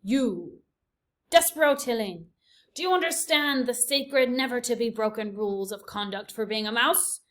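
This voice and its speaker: A woman's voice: a little high, moderate pace, a bit bright, close to an everyday voice. A woman voicing an elderly male mouse leader who speaks formally and commandingly. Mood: stern.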